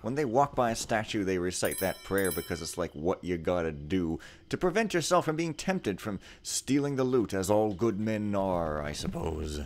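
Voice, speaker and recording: A man's voice: sits low, animated, moderate pace, hardly any pauses, slight background noise. Laid-back man rambling over gameplay. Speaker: let's play host. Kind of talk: casual gameplay commentary.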